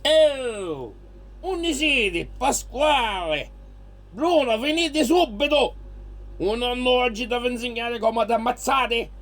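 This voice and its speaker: A man's voice: very high voice, animated, a little slow, fairly bright tone, full character voice. A man playing a hot-tempered old Italian grandfather in an audio drama, scolding in broken English with an Italian accent and dropping into Italian. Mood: exasperated. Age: older.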